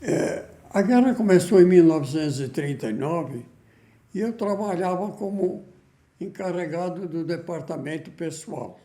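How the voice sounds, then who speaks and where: A man's voice: high-pitched, lively intonation, normal speed, warm tone, echoey room. A man in his nineties, a war veteran, recounting facts plainly. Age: 90s.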